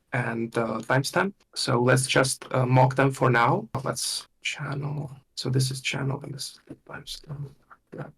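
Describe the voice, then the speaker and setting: Male workshop instructor, very creaky and rough-edged, thinking aloud through a live demo in halting, half-murmured phrases. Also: mid-range voice, a bit bright.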